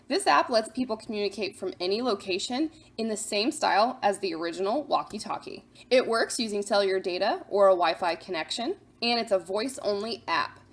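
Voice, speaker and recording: A woman hosting a scripted explainer for parents, instructive, posing questions and then answering them. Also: mid-range voice. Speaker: host of a parent guide to apps.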